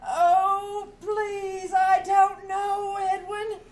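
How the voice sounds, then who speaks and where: Woman acting as whiny middle-aged wife, very high voice, plaintive sighs, old-time radio comedy. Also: flat delivery, extremely slow, a little mellow, heavily acted. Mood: feigning weakness. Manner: plaintive, theatrical sighing. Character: Lily, melodramatic, whiny middle-aged wife of a stage actor. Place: live performance on a small theater stage.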